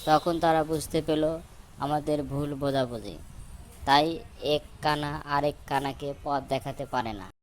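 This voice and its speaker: A man's voice: fairly high pitch, fairly even intonation, measured pace, a little hiss or hum, rough recording. A man working as a storyteller, reading a scripted fable for children.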